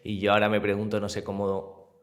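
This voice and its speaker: Mallorcan man, casual host, low voice, long room reverb. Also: completely flat delivery. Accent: Spanish, Mallorca. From Mallorca, Spain. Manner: casual, drawn-out, uses mild swearing. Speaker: podcast host. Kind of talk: conversational interview.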